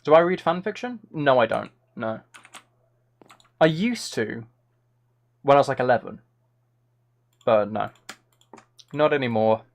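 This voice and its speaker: A man's voice: mid-range voice, expressive, measured pace, vocal fry, pauses often, lo-fi sound. A young man chatting casually while he streams a game, rambling and self-deprecating into a close mic. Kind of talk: casual live-stream chat while gaming.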